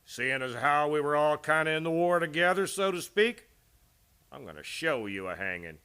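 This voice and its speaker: A man's voice: fairly high pitch, expressive, normal speed, bright, forceful tone, smooth. A man playing a menacing, mocking cowboy bully in a Western radio play, with a folksy, taunting American drawl. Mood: sly, mocking.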